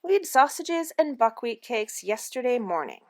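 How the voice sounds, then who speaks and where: A woman's voice: fairly high pitch, very animated, moderate pace, dry recording, clean studio sound, pitched above the performer's own voice. A woman voicing a weary, cross mother in a storybook, with a controlled manner. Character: weary, crossly sighing mother of quarreling twins.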